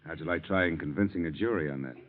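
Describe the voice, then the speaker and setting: A muffled vintage radio recording carries the very deep voice of a sly chauffeur, played by a male actor. He insinuates and threatens coolly, in slangy phrases, like a blackmailer who knows he holds the cards. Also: fast, lightly acted. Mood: coolly threatening. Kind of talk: old-time radio drama acting.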